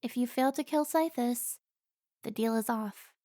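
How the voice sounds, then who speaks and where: A woman's voice: on the high side, a little slow, dark tone, no room sound, studio quality, close to an everyday voice. A woman playing a stern, protective member of a vampire slayer guild in a cinematic audio roleplay, commanding and scolding. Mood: solemn.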